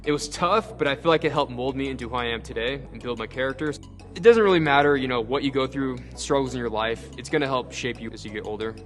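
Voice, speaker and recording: A man's voice: medium pitch, fast, a bit bright. A man, telling his own story in a casual interview and often saying "like" and "you know.". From Seattle, Washington. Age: 20s. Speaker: bodybuilder and actor. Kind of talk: personal interview monologue. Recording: light music behind.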